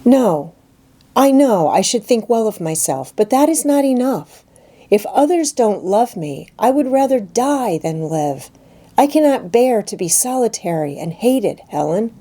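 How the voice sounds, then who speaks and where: A woman's voice: on the high side, very animated, unhurried, dark tone, voice pushed up, exaggerated voice. A woman voicing a passionate, despairing orphan schoolgirl in a storybook reading, impassioned and pleading. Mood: desperate, pleading. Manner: impassioned, pleading, self-pitying. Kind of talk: dramatised audiobook reading.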